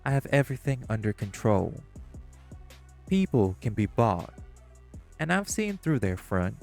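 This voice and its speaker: A smug, scheming gangster, played by a man, who mocks and teases the others. His voice sits at a middle pitch, with faint music underneath. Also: very animated, unhurried, gravelly, darkish tone. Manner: smug, mocking, sly. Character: smug, scheming male gangster, sly and teasing. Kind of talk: audio roleplay acting.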